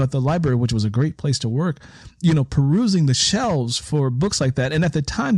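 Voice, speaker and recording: A man's voice: fairly high pitch, a little mellow, dry recording. A man with an American accent hosting a conversational interview and sharing his own anecdotes. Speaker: interview show host and author.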